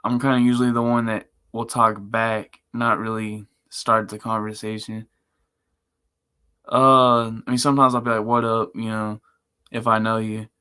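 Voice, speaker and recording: A man with a monotone, chill voice, rambling casually and slangily as a live streamer. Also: on the low side, moderate pace, clear, resonant tone. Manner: laid-back, chill. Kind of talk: casual livestream chat.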